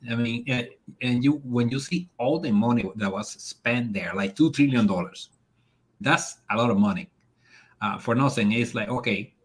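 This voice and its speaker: A man from the Dominican Republic with a Dominican Spanish accent, speaking as a guest in a casual political discussion in a halting, conversational way. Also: medium pitch, slightly rough. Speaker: guest on a talk show.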